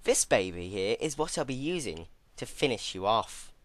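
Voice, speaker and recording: A man voicing a sadistic, gleefully unhinged female pony villain in a story, taunting in a mock-sweet, drawn-out way. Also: fairly low pitch, sing-song, fairly bright tone, dry recording. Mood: menacing glee.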